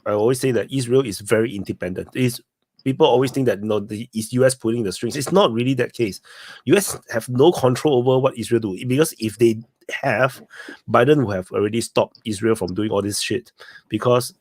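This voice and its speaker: A man's voice: mid-range voice, brisk, a little mellow, dry recording. Man hosting live talk, close dry sound. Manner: non-native English phrasing. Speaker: host of an open-mic discussion show. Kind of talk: casual live discussion.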